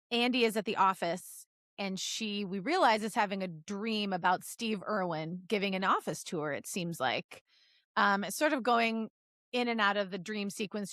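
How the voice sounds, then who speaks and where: A woman's voice: mid-range voice, fairly bright tone, no room sound. Playful woman podcaster, dry close sound. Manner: playful, giggly, bawdy joking. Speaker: podcast co-host. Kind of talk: casual comedic chat, reading fan fiction aloud.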